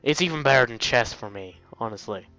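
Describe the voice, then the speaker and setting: A man's voice: medium pitch, lively intonation, fairly quick, pushed and bright. A young man reading a visual novel aloud as a let's play host, playfully commenting and stumbling over lines. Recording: dull, lo-fi mic with music. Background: game music.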